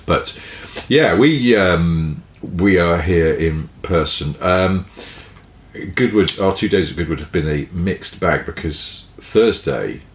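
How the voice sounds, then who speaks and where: A man co-hosting a podcast in casual, rambling chat, quick to laugh, his voice low and tired from two days of talking, recorded inside a caravan. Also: animated, roomy sound, slight background noise. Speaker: podcast co-host. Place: inside a large caravan in a field.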